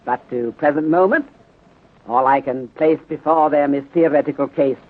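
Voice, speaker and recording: Male detective inspector, acted by a man, courteous and deliberate, clipped article-free formal English, old thin-sounding radio recording. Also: a little high, lightly acted. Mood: calm, deliberate. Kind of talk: 1930s radio drama acting. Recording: tinny narrow-band 1930s radio recording.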